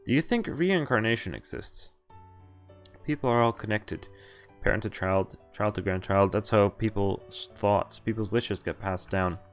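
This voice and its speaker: A man streaming a visual novel, reading its lines aloud and breaking off to react and explain, with animated exclamations and groans. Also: fairly low pitch, unhurried, soft background music.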